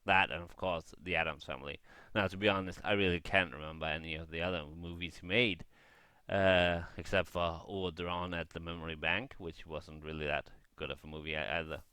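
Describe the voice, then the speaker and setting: A man's voice: low-pitched, steady tone, fairly quick, bright, forceful tone, smooth, dry recording. A man giving casual gameplay commentary, rambling and thinking aloud as he shares blunt opinions. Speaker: gaming vlogger.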